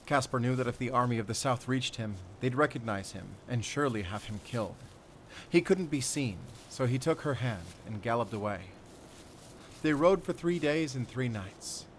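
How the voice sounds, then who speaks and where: A man's voice: mid-range voice, lively intonation, natural voice. Man acting a solemn werewolf hunter, noisy ambient backdrop. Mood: solemn, wistful. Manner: measured, earnest, dramatic storytelling. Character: male werewolf hunter and spy, solemn storyteller. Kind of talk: cinematic audio roleplay acting.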